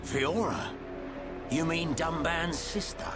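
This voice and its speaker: An actor playing a gruff, teasing older man who trades gear, in a video game cutscene. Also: on the high side, slow, over loud music.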